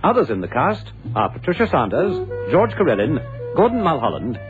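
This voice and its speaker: Guy doing old radio show intro, formal and dramatic, hugely animated pitch, loud music behind. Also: normal speed, narrow, tinny audio. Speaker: radio announcer. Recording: thin vintage radio broadcast.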